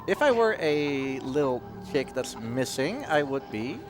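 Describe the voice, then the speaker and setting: A man's voice: medium pitch, very animated, a little slow. A man giving casual, jokey live commentary as he plays through a video game. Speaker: speedrunner. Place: live gaming marathon event. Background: game music.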